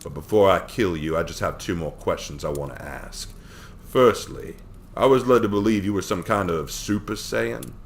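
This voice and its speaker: A man voicing a cold, menacing male crime boss villain in a storybook reading, slow and sneering. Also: low voice, creaky, a little hiss or hum.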